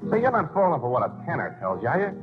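A man's voice: fairly high pitch, huge pitch swings, racing, over music. An actor playing a breezy, cocky male vaudeville dancer in a 1940s radio play. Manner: breezy, wisecracking. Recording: muffled old radio broadcast.

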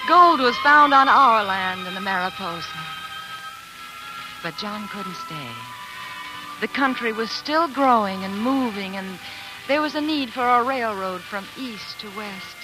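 An actress plays a devoted woman of resolute faith in her explorer husband. Her delivery is refined and earnest, at a middling pitch, in vintage radio drama style. Music plays in the old broadcast. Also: natural voice, poor audio. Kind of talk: 1940s radio drama acting.

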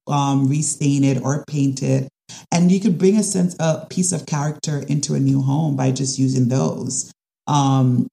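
A woman, a design specialist talking through her ideas in a casual interview, often pausing and restarting. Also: low-pitched, creaky, mellow. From Caribbean. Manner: thoughtful, with frequent restarts and fillers. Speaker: design and construction specialist guest.

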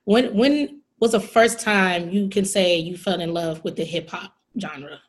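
A woman's voice: on the low side, measured pace. A woman hosting a podcast interview, casual and full of praise and slang. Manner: warm, effusive praise and slang. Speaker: podcast host.

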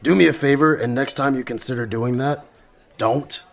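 A male actor plays a weary, prickly young man in an audio drama. His mid-pitched voice has plenty of fry, and he talks with surly sarcasm. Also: moderate pace, lightly acted.

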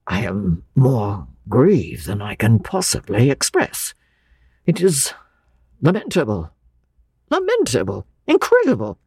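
A woman voicing a stern, reserved gentleman in a novel, with a sharp, cool, contemptuous manner. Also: rumbling low, huge pitch swings, moderate pace, breathy edge, dry recording, clean studio sound, full character voice. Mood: stern, grieved.

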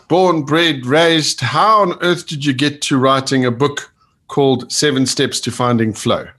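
A man's voice: medium pitch, fairly bright tone. A man hosting an interview show, talking in a chatty, upbeat way with fillers and restarts. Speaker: podcast host. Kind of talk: casual interview chat.

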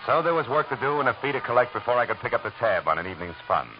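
An actor playing a hard-boiled private detective in a 1940s radio drama, dry and world-weary. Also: male voice, medium pitch, very animated, very fast, natural voice. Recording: muffled old radio with music.

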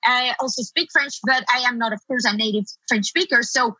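A woman consultant from Latin America answers interview questions in Spanish-accented speech. Her voice is bright and forceful, set a little high, with an animated, emphatic way of explaining. The sound is close and dry. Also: a little fast, breathy edge, no room sound, low-quality recording. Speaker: guest SEO consultant.

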